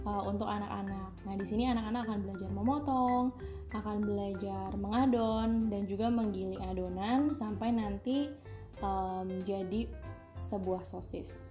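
A woman giving a casual vlog voice-over as she narrates a family outing. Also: mid-range voice. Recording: music behind the voice. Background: clear background music.

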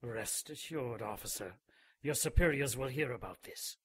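Husky, menacing old churchman. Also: mid-range voice, animated, normal speed, no room sound. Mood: indignant. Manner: formal, cold, imperious. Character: menacing, scarred old vicar of a secretive holy order. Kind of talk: radio drama acting.

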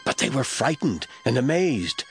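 Animated male Bible narrator over music. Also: medium pitch, moderate pace. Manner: expressive storytelling. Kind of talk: scripted dramatic Bible narration.

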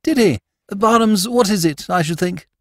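A man's voice: high-pitched, normal speed, dry recording, clean studio sound, pitched above the performer's own voice. A man voicing a silly young lord with an airy, chatty, foppish manner in a storybook. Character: silly breezy young English lord, idle man-about-town.

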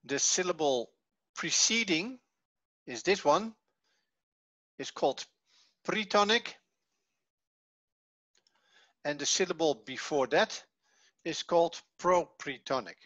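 A man teaches an online class at a slow, deliberate pace. His voice is bright and forward, with a dry, close sound. He explains step by step, with halting pauses. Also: fairly high pitch, lively intonation, no echo, lo-fi sound. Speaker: Hebrew teacher. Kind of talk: online lesson, reading and explaining a textbook. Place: online video call.

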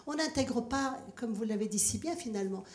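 A woman's voice: a little high, lively intonation, brisk. A middle-aged woman speaking earnestly and formally into a handheld microphone at a public debate, emphatic and repeating phrases to press her point. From born in Kabylie, Algeria, grew up in France from age nine. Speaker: audience member questioning a speaker at a public debate. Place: large ornate hall with seated audience, indoors. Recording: echoey hall with clear background noise.

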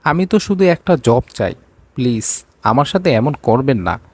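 A man's voice: medium pitch, big pitch swings, moderate pace, no room sound. Indignant, needy young female job seeker for a story acted by a man, pleading tone, dry sound. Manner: pleading, reproachful. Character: desperate, indignant young woman seeking a job.

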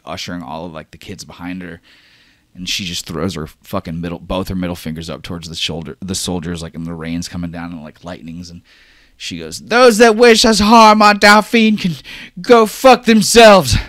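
A man's voice: low voice, a bit bright, no echo, clean studio sound. A man running a tabletop roleplaying game as game master, narrating loosely with many fillers and cursing.

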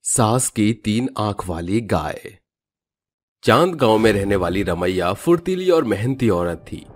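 Lively-pitched man narrating a cartoon story. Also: a little slow, slight background noise.

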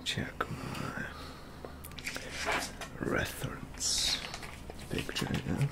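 A young man in his twenties playing a pompous, fussy model painter in a soft-spoken ASMR roleplay, whispering breathily in slow, ornate, halting phrases close to the mic. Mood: absorbed, fussy. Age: 20s. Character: pompous, rapturous male model painter and collector, fussy aesthete. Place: indoor studio with plain backdrop. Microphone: close ASMR microphone.

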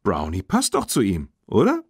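A kind, excitable young girl who loves horses, voiced by a man in a German children's audiobook. The delivery is animated and expressive, recorded dry. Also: fairly low pitch, moderate pace, breathy edge, dry recording, heavily acted. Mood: cheerful. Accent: standard German. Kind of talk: children's audiobook character reading.

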